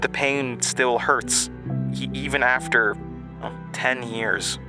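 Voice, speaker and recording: Man voicing a gentle free-spirited woman over music. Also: medium pitch, fairly even intonation, slow pace, voice pushed up, natural voice. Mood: sad, wistful. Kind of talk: quoted dialogue in audio drama.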